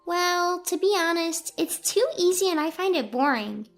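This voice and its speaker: Woman voicing a high, eager young schoolgirl. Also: lively intonation, normal speed, a bit bright, soft background music, exaggerated voice. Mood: bored, frank.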